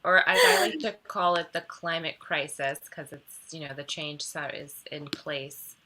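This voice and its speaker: A woman's voice: deep voice, wildly swooping pitch, measured pace, background noise. A woman co-hosting a talk show, asking questions in a casual interview style.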